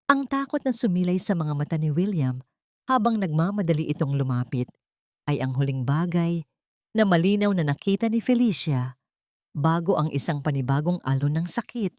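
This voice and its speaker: A woman's voice: low-pitched, a little mellow, no echo, studio quality. A woman narrating a scripted dramatic audio story in a solemn, literary storytelling manner.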